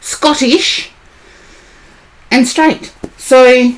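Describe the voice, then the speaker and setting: A hoarse woman, chatting casually on a live stream as a tarot reader, greeting and answering viewers by name. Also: high-pitched, fairly even intonation, extremely slow, low-quality recording.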